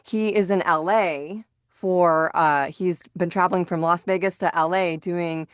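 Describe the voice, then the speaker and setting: Crisp, low-voiced woman chatting casually. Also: steady tone, measured pace, no room sound, clean studio sound. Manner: chatty, informal, rambling. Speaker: podcast host. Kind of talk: casual chat.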